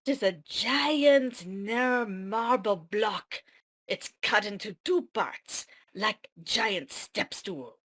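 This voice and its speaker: Woman acting a husky, slow, secretive warrior storyteller. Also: mid-range voice, a bit bright. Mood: assured, sly. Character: cryptic woman warrior, grave secretive storyteller of fantasy lore. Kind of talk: amateur table read of a fantasy script.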